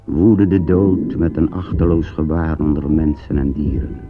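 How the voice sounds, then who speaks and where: A man narrating a war drama for radio in a grave, measured, declamatory manner. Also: very deep voice, phone-quality sound. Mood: grave, solemn. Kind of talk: solemn scripted radio play narration. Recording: phone-thin old radio recording. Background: music.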